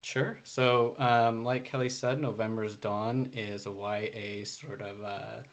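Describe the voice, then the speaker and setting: A man's voice: lowish voice, steady tone, takes it slowly. A young man answering interview questions casually as an author, hesitant and padding his explanations with "you know". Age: young adult. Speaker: debut author being interviewed.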